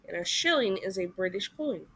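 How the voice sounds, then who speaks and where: A woman reading a story aloud for a classroom as an expressive children's narrator. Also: medium pitch, normal speed, a little hiss or hum.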